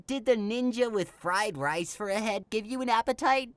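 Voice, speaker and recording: A woman voicing a gentle, shy young bluebird in a cartoon comedy, good-natured and a little hesitant. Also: on the high side, normal speed, dry recording, lightly acted. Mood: easygoing. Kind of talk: animated visual novel comedy dialogue. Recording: music behind the voice.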